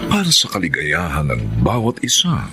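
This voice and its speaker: A man narrating a radio drama and reading its credits as a solemn, measured announcer. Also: sits low, huge pitch swings, gravelly, over loud music. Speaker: narrator and director.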